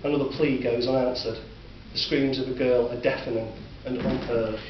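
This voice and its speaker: A man with a Northern English accent narrating a dark story in a measured, sombre way. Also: medium pitch, little pitch movement. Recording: distant, roomy, dull recording with faint hiss.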